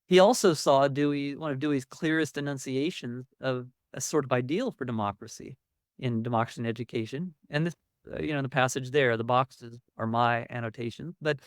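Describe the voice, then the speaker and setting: A male academic delivers a lecture in a mid-pitched voice. He explains ideas conversationally, stressing key words as he goes. The sound is dry, with very little room on it. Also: fairly quick, dry recording. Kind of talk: public academic lecture with slides.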